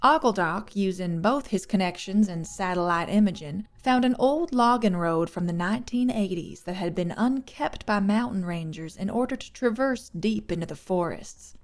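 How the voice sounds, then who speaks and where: A woman's voice: mid-range voice, normal speed, hardly any pauses. A woman reading scripted third-person fiction as an audiobook narrator.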